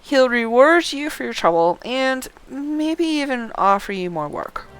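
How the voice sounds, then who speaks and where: A woman reading aloud the dialogue of a friendly, chatty quest giver in a fantasy game, deliberately paced. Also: sits high, very animated, smooth, no echo, pitched above the performer's own voice, poor audio. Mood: earnest, persuasive.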